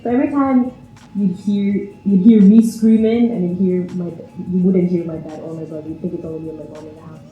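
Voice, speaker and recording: A woman's voice: lowish voice, steady tone, brisk, phone-quality sound. A woman in her twenties from Vienna, chatting casually and with a giggly manner in a personal interview. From Vienna, Austria. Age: 20s. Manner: chatty, giggly, breaks into laughter often. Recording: roomy echo, faint music behind.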